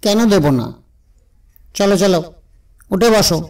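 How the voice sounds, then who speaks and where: A man's voice: sits high, measured pace, darkish tone, distant mic. A man voicing a humble, obliging older village rickshaw puller in a cartoon, with a deferential, folksy manner. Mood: eager, obliging.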